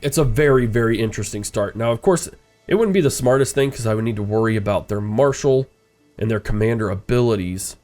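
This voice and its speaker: A man's voice: fairly low pitch, fairly quick, dark tone, faint music behind. A congested man with a stuffed-up nose, casually commenting as he plays a strategy game and thinking aloud. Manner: rambling, thinking aloud, frequent uh fillers. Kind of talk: casual let's-play commentary.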